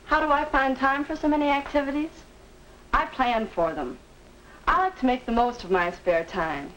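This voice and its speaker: Purposeful schoolgirl, high voice, faintly noisy fifties educational film. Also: animated, moderate pace, a bit bright. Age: young adult. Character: busy, organized high school girl. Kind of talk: 1950 instructional film acting. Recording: noisy 1950 film soundtrack.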